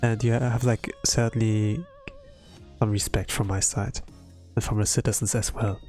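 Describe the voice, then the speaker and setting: A man from Europe, a non-native English speaker, chatting casually on a livestream in a hesitant, halting way with lots of fillers, sounding tired. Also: on the low side, fairly even intonation, a little mellow. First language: not English. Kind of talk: casual rambling livestream chat. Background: background music.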